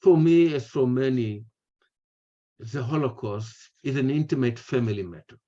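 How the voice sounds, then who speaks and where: An older man with an Israeli accent delivers a prepared lecture over a video call. His voice is mellow and relaxed, and he moves in deliberate, measured phrases. The audio is dull and lo-fi. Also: mid-range voice. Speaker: speaker, retired history professor. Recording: muffled, lo-fi webinar audio.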